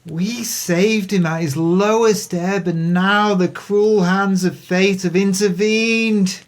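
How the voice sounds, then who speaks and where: A man acting in an audio play as a crooked swindler, creaky-voiced, florid and melodramatic. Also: high-pitched, steady tone, slow. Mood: mock mournful. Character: crooked male swindler, mournfully grandiose and evasive.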